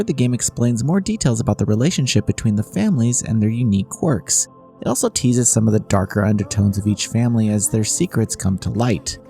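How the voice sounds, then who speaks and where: A man reading a scripted video game review in a measured, formal way, as a voice-over. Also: lowish voice, vocal fry, dark tone. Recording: voice-over with background music. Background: music.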